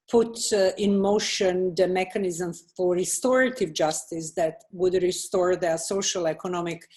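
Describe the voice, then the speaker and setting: A woman's voice: lowish voice, darkish tone. A woman moderating a panel discussion, thoughtful and hesitant, with many fillers.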